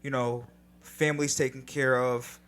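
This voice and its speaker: A man's voice: medium pitch, flat delivery, unhurried, fairly bright tone. A young man co-hosting a casual podcast, talking in a laid-back, reflective way with some casual swearing. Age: young adult. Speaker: podcast co-host. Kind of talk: casual chat.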